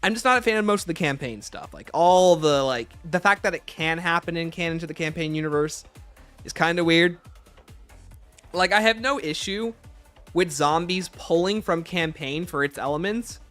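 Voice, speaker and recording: A man's voice: a little high, moderate pace, a bit bright, soft background music. A man hosting a casual livestream, reading out chat questions and answering them conversationally, breaking off to greet and thank viewers. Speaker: livestream host.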